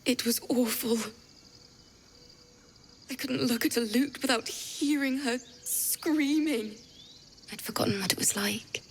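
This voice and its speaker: A gentle young tiefling bard speaks very slowly and haltingly, hushed with grief. She is earnest and confiding, as in dramatic video game dialogue, with clear outdoor insect noise around her. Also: on the high side. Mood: grieving, haunted.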